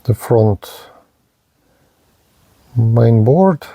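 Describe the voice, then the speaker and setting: Slow, careful man demoing a radio. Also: medium pitch, lively intonation, warm tone, no room sound. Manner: halting, careful, with pauses and fillers. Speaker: radio reviewer. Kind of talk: slow hands-on product demo narration.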